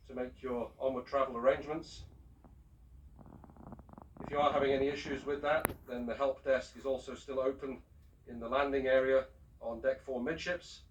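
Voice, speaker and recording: A man speaking as a ship's captain, giving a formal, measured and courteous announcement over a public address system. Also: medium pitch, slight background noise. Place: aboard a cruise ship, heard over the public address system. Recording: distant shipboard PA speaker, picked up from afar.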